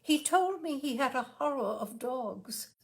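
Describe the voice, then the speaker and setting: A woman voicing a self-possessed teenage girl who tells tales calmly and matter-of-factly in a storybook reading. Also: high voice, unhurried, voice pushed up. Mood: calm, sly. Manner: calm, matter-of-fact storytelling.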